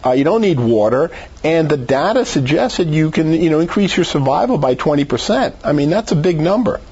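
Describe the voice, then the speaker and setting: A man's voice: fairly high pitch, animated, fairly quick, a little mellow, slightly rough, lo-fi sound. A man speaking as a medical expert in an interview, giving conversational advice in an emphatic, persuasive way. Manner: emphatic, persuasive, rhetorical questions. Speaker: doctor, expert guest.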